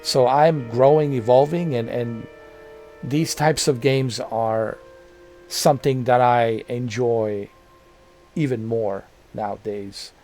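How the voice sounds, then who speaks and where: A man's voice: mid-range voice, fairly even intonation, slow, a little mellow, faint music behind. Rambling man in his forties. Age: 40s. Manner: rambling, repeats phrases, many fillers. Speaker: gaming channel host. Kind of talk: casual talk to camera.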